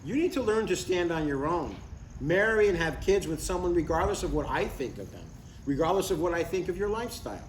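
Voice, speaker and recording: A man's voice: a little high, very animated, fairly quick. An older man playing a blunt, devout father in a live comedy table read, matter-of-fact and mildly exasperated. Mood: stern, blunt. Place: live reading in a room. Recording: roomy, distant live recording with faint noise.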